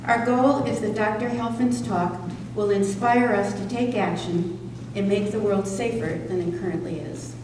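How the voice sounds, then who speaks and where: A woman's voice: medium pitch, fairly even intonation, moderate pace, few pauses. Older woman in a very echoey hall. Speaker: event organizer introducing a speaker. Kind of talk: prepared introduction read from notes. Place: indoor community hall at a podium. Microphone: stand microphone at podium, picked up distantly. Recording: echoey hall from far off, faint noise.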